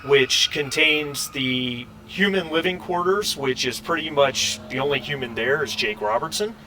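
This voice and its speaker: A man with a bright, edgy voice lays out a tabletop game scenario as game master. His delivery is conversational and halting. He sounds a little away from the microphone, with faint noise in the recording. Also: mid-range voice, lively intonation. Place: online group voice call. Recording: noisy, distant microphone.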